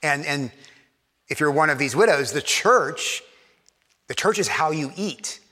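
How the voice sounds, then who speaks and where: A man from Texas, a pastor preaching a sermon to his church, talking fast and stumbling over repeated words, his Texan accent worn faint by years in New York. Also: fairly high pitch, lively intonation, bright, forceful tone, big-room sound.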